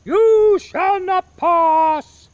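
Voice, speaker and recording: A man putting on the voice of a grand, commanding old male wizard, speaking in slow, booming pronouncements. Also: no echo, dull audio, full character voice. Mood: solemn.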